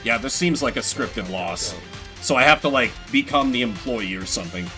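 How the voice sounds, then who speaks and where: A man giving casual, animated and sarcastic gameplay commentary, swearing now and then. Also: medium pitch, moderate pace, faint music behind. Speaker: streamer playing and voicing a game solo.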